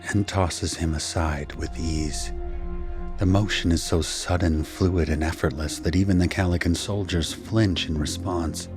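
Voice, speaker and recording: A man's voice: low-pitched, lightly acted. A man playing an anguished husband and father, narrating an audio drama in a grave, dramatic way. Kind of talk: scripted first-person audio drama narration.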